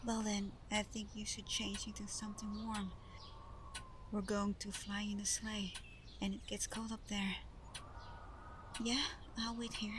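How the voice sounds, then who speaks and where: Woman acting a slow, shy, sweet young woman. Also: medium pitch, little pitch movement, noisy background, lightly acted. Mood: pleased, caring. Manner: hesitant, stammering, gentle, breathy half-whispers. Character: shy, sweet, eager young woman, Santa's adopted daughter. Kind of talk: roleplay audio drama, soft-spoken ASMR, addressing the listener.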